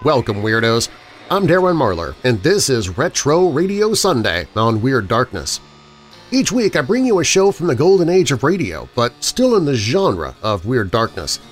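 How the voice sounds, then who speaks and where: A man hosting a podcast, reading a scripted introduction to old-time radio with theatrical, deliberate emphasis. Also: mid-range voice, sing-song. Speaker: podcast host. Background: background music.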